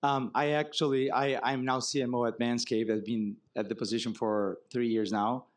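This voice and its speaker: A man from Brazil with a Brazilian accent, a marketing executive speaking as guest in an onstage fireside chat, relaxed and wry, explaining with examples. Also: medium pitch, normal speed. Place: conference stage before an audience.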